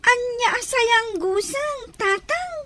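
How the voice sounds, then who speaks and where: A young Ilocano elder sister in a radio comedy drama. Her voice is extremely high and whiny, the pleading tone of a schoolchild. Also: lively intonation, slow pace, very noisy, heavily acted.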